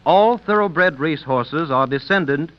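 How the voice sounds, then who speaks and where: A man's voice: on the high side, animated, measured pace, clean tone, faint music behind. A man announcing in a scripted old-time radio style, as in a sponsor message. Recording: dull, lo-fi old radio recording.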